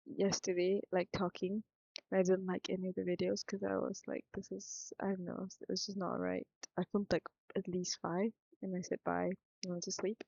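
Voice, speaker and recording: Young Singaporean woman, low fry-heavy voice, meandering frank vlogger, dry lo-fi mic. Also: darkish tone, dry recording. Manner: meandering, thinks aloud, self-interrupting, frank. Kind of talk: rambling casual vlog monologue.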